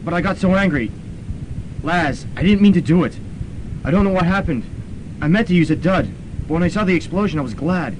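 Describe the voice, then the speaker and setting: A man's voice: high voice, lively intonation, fairly quick, pauses often, slight background noise, poor audio. A young man playing a brooding student who confesses to a killing, in a student radio drama. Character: Chris Nitro, young male student killer, brooding.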